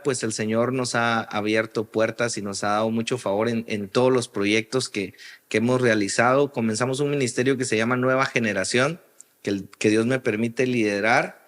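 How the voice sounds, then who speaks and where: A man from Guatemala, an interview guest telling his story in a halting way, often repeating the starts of phrases. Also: mid-range voice, a bit bright. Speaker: guest, music producer and worship singer. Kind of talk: casual interview, personal storytelling.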